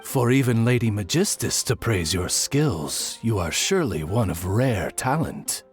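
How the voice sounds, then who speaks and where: A man's voice: lowish voice, sing-song, measured pace, faint music behind. A man voicing a devoted, butler-like raven familiar in an anime game, formal, polished and courteous. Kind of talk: anime video game voice acting.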